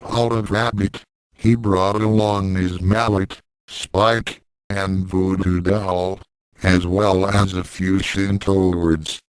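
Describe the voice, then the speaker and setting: A man reading fan fiction aloud as a story narrator in a measured, literal manner. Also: deep voice, flat delivery, slight background noise, low-quality recording.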